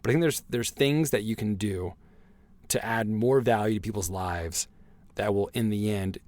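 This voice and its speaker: A hoarse man hosting a solo podcast, talking in an earnest, motivational way and often adding "right?" to his points. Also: lowish voice, normal speed, darkish tone, no echo, studio quality. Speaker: podcast host.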